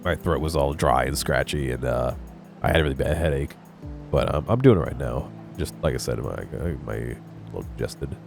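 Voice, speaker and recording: A man's voice: a bass voice, brisk, gravelly, soft background music. A congested young man with a cold, chatting casually with viewers while playing a game. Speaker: gaming streamer. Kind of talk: casual let's play commentary.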